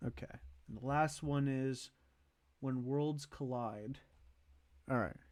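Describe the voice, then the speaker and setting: A man giving casual livestream commentary as host, thinking aloud in a halting way as he ranks things. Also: medium pitch, darkish tone, no echo. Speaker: streamer hosting a movie tier list.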